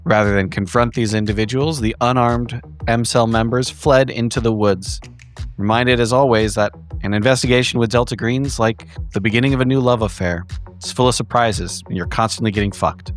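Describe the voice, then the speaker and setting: A man narrating a scripted recap of a horror game in a measured, ominous storytelling manner. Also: mid-range voice, fairly even intonation. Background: background music.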